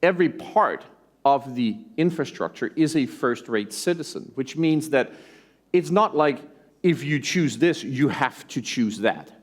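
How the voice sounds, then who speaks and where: A man from Denmark with an American accent gives a conference keynote, conversational and animated, tossing in rhetorical asides. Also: mid-range voice. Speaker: tech company executive presenting. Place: conference stage.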